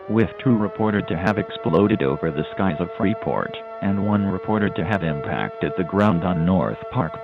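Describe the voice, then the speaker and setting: A man playing a grave, official emergency alert announcer, reading a formal, impersonal message in a muffled broadcast recording. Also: sits low.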